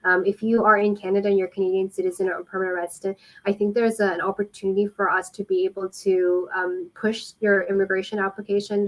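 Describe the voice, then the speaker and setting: A woman working as an immigration consultant, explaining things helpfully and informally in a live question-and-answer style, with frequent pauses. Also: lowish voice, low-quality recording.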